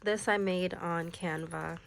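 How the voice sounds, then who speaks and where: A young woman with a slightly low voice and a stuffy, nasal sound from a cold talks through her planner pages in a relaxed, chatty way. She drops in the odd "like" and "um" as she goes. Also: slow, creaky, slight background noise. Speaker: planner vlogger. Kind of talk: casual show-and-tell planner walkthrough.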